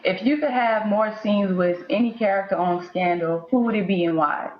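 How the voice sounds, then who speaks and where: A woman's voice: mid-range voice, normal speed, echoey room, lo-fi sound. A woman, a fan, asking a question in an interview.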